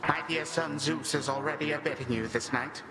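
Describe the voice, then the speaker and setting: A man playing an old, contemptuous titan villain in a video game, menacing and unhurried. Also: a little high, steady tone, lightly acted. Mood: smug menace. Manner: condescending, unhurried. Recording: loud noisy ambience behind.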